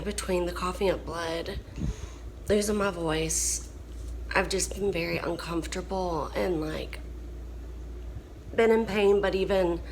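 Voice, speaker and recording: Woman, hoarse, coughing, slow weary delivery, faint background hiss. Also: fairly low pitch, low-quality recording. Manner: tired, frustrated, drawn-out speech. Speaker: vlogger. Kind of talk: casual vlog chat.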